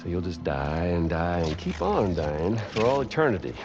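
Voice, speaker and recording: An actor playing a gleefully sadistic, taunting game villain with a theatrical, mocking drawl. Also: male voice, low voice, very animated, normal speed, lo-fi sound, background noise. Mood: gleeful cruelty. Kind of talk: video game cutscene acting.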